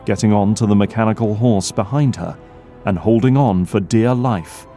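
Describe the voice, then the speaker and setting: A man's voice: on the low side, moderate pace, warm tone, soft background music. A man reading scripted dramatic narration as the narrator of an audio drama.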